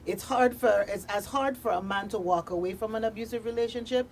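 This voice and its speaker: A woman's voice: fairly high pitch, fairly quick, darkish tone, slight background noise. A woman speaking as a victim advocate in a radio interview, explaining emphatically in parallel, listed phrases.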